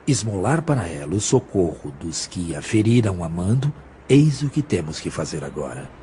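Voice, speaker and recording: An actor playing a wise, humble spirit mentor in an audio drama, speaking gently and with measured, instructive patience. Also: male voice, low voice, big pitch swings, a little hiss or hum.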